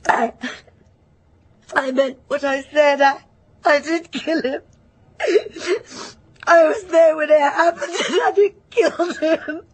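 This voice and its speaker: A woman's voice: high-pitched, steady tone, takes it slowly, long pauses. A woman playing a troubled, guilt-ridden woman in her fifties in a radio drama, hesitant and brittle. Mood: anguished, tearful. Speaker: actor in a radio play. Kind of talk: naturalistic radio drama acting, confessional.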